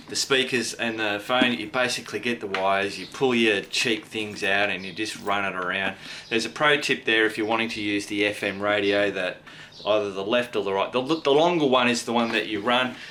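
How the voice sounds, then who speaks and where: Low, bright-voiced Australian bloke. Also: male voice, normal speed, slight background noise. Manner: blokey, slangy, mildly sweary. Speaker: product review video host. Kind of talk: casual hands-on product review.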